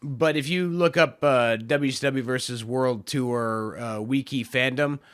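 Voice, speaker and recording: A man hosting his own podcast, talking in a loose, rambling way with lots of fillers, his voice hoarse. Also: mid-range voice, a little slow, fairly bright tone. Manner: rambling, filler-heavy, self-deprecating. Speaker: podcast host. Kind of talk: casual solo podcast talk.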